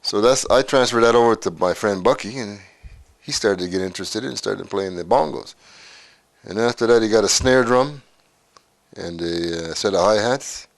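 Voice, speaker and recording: Deep-voiced older man reminiscing. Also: gravelly, no echo. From Canada. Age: 60s. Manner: unhurried and halting, with frequent "uh" pauses. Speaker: interviewee in a documentary. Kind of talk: reminiscing life story.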